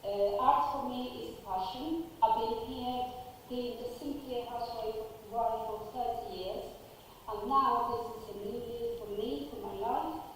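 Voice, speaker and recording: A woman from Cuba speaks sincerely and a little hesitantly, with a fairly high voice. The sound comes from a far mic in a reverberant council chamber, with faint room noise behind her. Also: unhurried. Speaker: applicant speaking at a planning committee. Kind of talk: short public statement.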